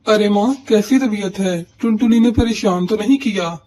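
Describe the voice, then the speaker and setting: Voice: medium pitch, moderate pace, a little mellow, natural voice. A voice actor playing an adult male pigeon, a hardworking son and husband in a cartoon, with an earnest delivery. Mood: caring. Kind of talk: Hindi cartoon voice acting.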